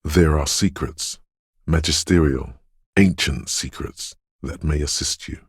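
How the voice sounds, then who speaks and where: A man voicing a brooding, dreamlike inner voice of intuition in a video game, speaking in a slow, hushed, portentous and theatrical way. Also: no room sound, studio quality, full character voice. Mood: ominous, mysterious. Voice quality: very deep, husky, gravelly whisper-edged rumble. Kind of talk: dramatic video game voice acting. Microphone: close, dry studio microphone.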